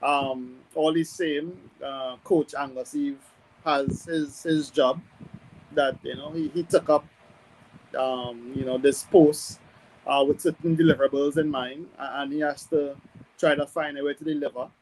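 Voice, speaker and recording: A man giving conversational sports analysis as a guest, hesitant and measured as he thinks aloud. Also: on the high side, fairly even intonation, a little mellow, a little hiss or hum, low-quality recording. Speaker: football pundit guest.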